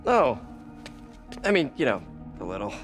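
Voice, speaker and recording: Young male superhero, wry, earnest, animated pitch, quippy, game cutscene with music. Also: unhurried. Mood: wry, flustered. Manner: quippy, conversational. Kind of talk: video game cutscene acting.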